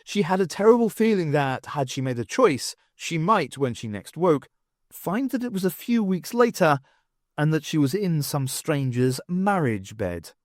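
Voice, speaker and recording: A man reading an audiobook aloud, narrating with an expressive, lively delivery. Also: on the high side, moderate pace, no room sound, clean studio sound. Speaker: audiobook reader. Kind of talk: storybook narration.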